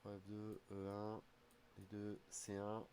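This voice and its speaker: A man's voice: fairly low pitch, monotone, slow pace, darkish tone, a little hiss or hum. A man with a slight head cold who streams his chess games, muttering his calculations aloud in half-finished phrases. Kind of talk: thinking aloud while playing online chess. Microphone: streaming desk mic.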